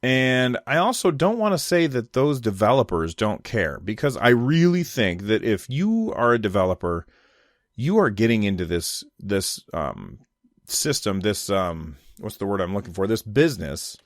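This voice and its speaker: Low-voiced man podcasting, dry close sound. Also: big pitch swings, normal speed, no echo. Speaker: podcast host. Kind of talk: casual opinion commentary on video games.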